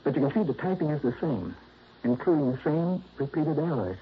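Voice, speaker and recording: An actor playing an earnest, troubled insurance claims man in a 1960s radio drama, hesitant and quick to protest. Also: on the high side, sing-song, slight background noise. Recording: dull lo-fi vintage radio broadcast.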